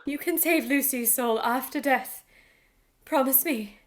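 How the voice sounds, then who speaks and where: A haunted young heroine of a radio drama, played by a woman, in a high voice. She speaks slowly, with tremulous, melodramatic anguish. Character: tormented young woman falling under a vampire's spell. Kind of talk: radio play acting.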